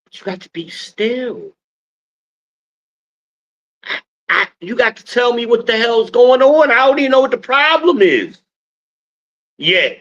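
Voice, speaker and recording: A deep-voiced man from New Jersey hosting a casual livestream, telling stories and calling on the chat like a preacher asking for an amen. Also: pushed and bright, muffled recording. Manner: preacher-like call and response with the chat. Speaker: podcast host.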